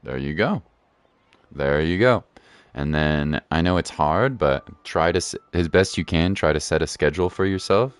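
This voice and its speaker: A man chatting casually and encouragingly as he hosts a game livestream, using relaxed slang. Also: a bass voice, moderate pace, smooth, dry recording. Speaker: game streamer and host.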